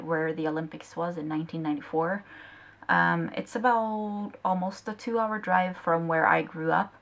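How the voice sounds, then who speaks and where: Young Norwegian woman with a gravelly voice. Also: on the low side, fairly bright tone. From Norway. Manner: chatty, rambling, reads questions aloud. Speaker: vlogger. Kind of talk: casual Q&A vlog.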